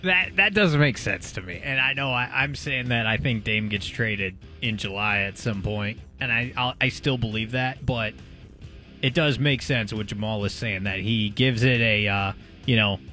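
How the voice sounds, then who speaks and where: A man's voice: lowish voice, normal speed, bright, forceful tone, faint music behind. A man co-hosting a sports talk show, giving blunt opinions in casual conversation. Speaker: sports talk radio co-host.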